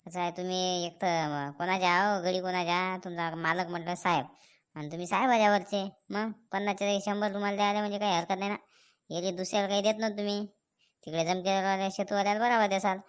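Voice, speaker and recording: A woman acts a nosy village man in a comic cartoon. He needles sarcastically in a smooth, medium-pitched voice with a rural Maharashtrian accent. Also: steady tone, fast talker, close to an everyday voice. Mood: needling.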